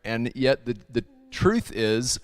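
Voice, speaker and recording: A man's voice: medium pitch, expressive, slow, no room sound. A man who leads worship, talking in a rambling, earnest way with many restarts on a casual livestream. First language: not Hebrew. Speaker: worship leader and ministry video actor.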